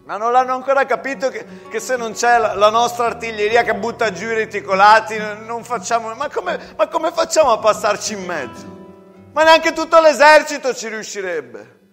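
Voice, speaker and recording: A man's voice: extremely high pitch, expressive, moderate pace, a bit bright, long reverb tail, faint music behind, voice pushed up. A man playing an angry, agitated soldier in a trench drama, heated and insistent as he protests. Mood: angry, desperate.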